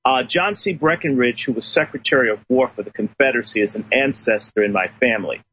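A man's voice: mid-range voice, fast talker, pushed and bright, muffled recording, a little hiss or hum. A man phoning in as a guest on a talk radio show, telling personal stories emphatically.

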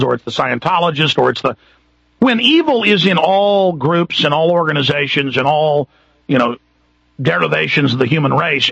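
Gravelly Texan talk radio host. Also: male voice, fairly high pitch, sharp tone, dry recording. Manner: emphatic, repetitive, building intensity. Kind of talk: impassioned talk radio monologue. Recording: muffled radio broadcast.

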